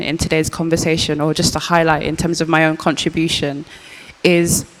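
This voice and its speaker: Quiet-voiced young woman. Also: on the low side. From Birmingham, England. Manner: reflective, searching, with fillers and restarts. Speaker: panel speaker, curator and archivist. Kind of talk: public panel discussion. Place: large public hall. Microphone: panel microphone. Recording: reverberant hall.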